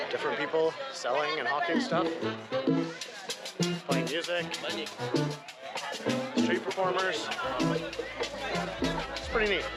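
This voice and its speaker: A young adult man, a father, chatting casually to camera as a travel vlogger. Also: on the high side. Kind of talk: casual vlog chat. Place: mostly outdoors in busy city spots. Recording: music and crowd noise behind voice. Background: music, crowd, street sounds.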